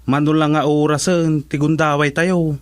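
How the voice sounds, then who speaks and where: A man's voice: fairly high pitch, little pitch movement, normal speed, smooth, no echo, clean studio sound, close to an everyday voice. A man with a Filipino accent acting as an easygoing, talkative village council candidate in a comedy radio drama, full of exclamations. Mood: conversational.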